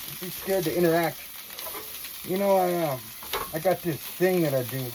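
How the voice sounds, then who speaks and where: Woman w a low voice rambling philosophically to viewers, sweary n slangy, some sizzly background noise. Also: moderate pace. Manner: earnest, reflective, slangy and profane. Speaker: vlogger. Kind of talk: casual philosophical monologue to viewers.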